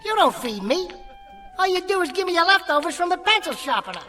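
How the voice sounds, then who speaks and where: A man's voice: extremely high pitch, sing-song, quick pace, music in the background. A man voicing a cocky, quarrelsome little ventriloquist dummy with an American accent, heckling in a radio comedy act. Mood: taunting. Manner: cheeky, combative, slangy heckling.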